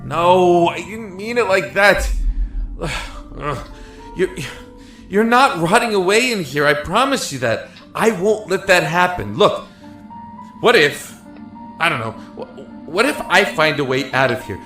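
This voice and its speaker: An actor playing an earnest, anguished young man locked in a dark cell, speaking haltingly and emotionally in an audio drama. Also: sits high, animated, echoey room, exaggerated voice. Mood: pleading, insistent. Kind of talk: audio drama acting and first-person narration. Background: music.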